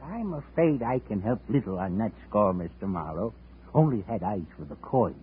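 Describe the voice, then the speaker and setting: A man's voice: lowish voice, normal speed. An actor playing an eccentric, fussy old man in his seventies who collects coins, in a 1950s radio drama. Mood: doddering. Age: 70s. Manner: fussy, doddering, swooping excitedly. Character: eccentric elderly male coin collector. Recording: tinny old radio broadcast, faint hiss.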